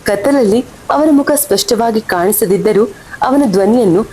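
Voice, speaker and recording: A woman's voice: moderate pace, warm tone, rough recording. A woman reading scripted horror story narration as the storyteller. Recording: faint rumble and rain behind voice.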